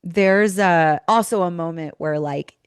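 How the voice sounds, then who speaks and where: A woman podcast host with a lowish, smooth voice that is slightly tired from teaching, recorded close and dry. She recaps in a casual, chatty way, sprinkling in filler words as she goes. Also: measured pace, no room sound. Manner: chatty, informal, peppered with like. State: losing voice from teaching. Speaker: school teacher. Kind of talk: casual chat and informal episode recap.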